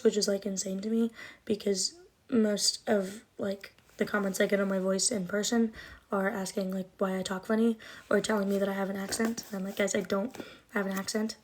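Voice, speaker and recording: A young woman chatting casually into her phone from home during a livestream. She rambles through answers to viewer comments, leaning on lots of fillers, and speaks with a slight lisp and trouble with her R sounds. Her pitch sits in the middle range for a woman. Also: darkish tone. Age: 20s. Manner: rambling and chatty, many likes and ums.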